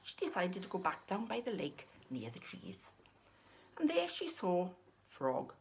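Children's storyteller: middle-aged woman, unhurried, animated intonation, drawn-out phrases. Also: lowish voice, a little hiss or hum. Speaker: puppeteer and storyteller. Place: small living room, indoors.

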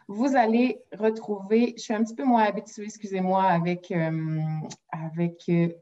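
A woman's voice: mid-range voice, darkish tone. A woman in her forties from Quebec with a Québécois French accent, presenting educational games in a friendly webinar over a video call. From Quebec, Canada. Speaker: game company presenter. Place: room at home with window blinds. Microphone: laptop mic on video call. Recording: muffled video call audio.

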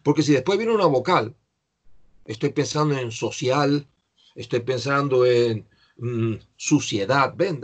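A man's voice: mid-range voice, husky. An older man teaching English in an online class, patiently explaining pronunciation to a student over a video call.

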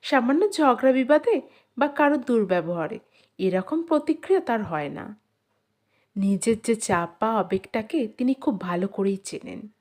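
A woman reading a literary story as an audiobook narrator, in a measured, expressive dramatic style. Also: on the high side.

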